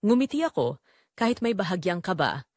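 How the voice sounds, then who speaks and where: A woman's voice: on the low side, very animated, brisk, darkish tone, no echo, studio quality. A woman with a Filipino accent reading a scripted first-person dramatic story as narrator.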